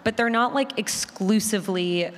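A woman's voice: medium pitch, normal speed. A woman speaking conversationally as a producer on a panel, enthusiastic and stressing her words by repeating them. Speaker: audio drama producer, panelist. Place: large room at a live conference panel. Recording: reverberant hall.

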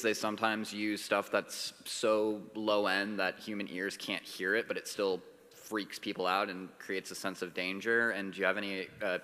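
A man's voice: fairly low pitch, bright, forceful tone. A man asking a question as an audience member at a live talk. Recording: reverberant hall sound.

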